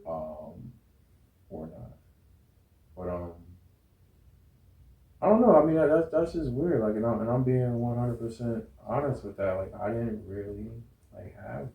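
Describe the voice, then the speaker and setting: A man's voice: mid-range voice, expressive, measured pace, creaky, pauses often, warm tone. An American man talking casually and candidly as an interview guest, rambling and often checking in with his listener. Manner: rambling, repeats "you know what I'm saying". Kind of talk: casual, candid conversation about a relationship. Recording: roomy, echoey sound.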